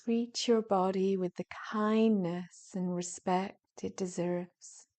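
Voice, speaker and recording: Female meditation guide, mid-pitched and very unhurried, soft and soothing, breathy phrase endings, relaxed warm tone. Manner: soothing, gentle, drawn-out phrases with long pauses. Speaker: yoga nidra meditation guide.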